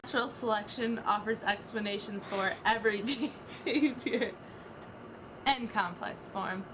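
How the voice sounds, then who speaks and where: A woman's voice: medium pitch, measured pace, background noise. A young woman doing a dramatic reading of rap lyrics as a student project, trading rhythmic lines with a partner. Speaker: student reader.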